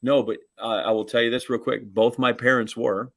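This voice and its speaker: A man with an average-pitched voice chats as a co-host on a sports show. His delivery is casual and digressive, circling around a point as he tells it. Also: level tone, brisk, creaky, fairly bright tone. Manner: rambling, digressive storytelling.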